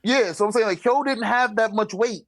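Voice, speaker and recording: A man's voice: high voice, moderate pace, a bit bright, no room sound. Man debating casually in slangy talk. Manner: informal, slangy, argumentative; repeatedly checks for agreement. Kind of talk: casual debate about a manga ranking.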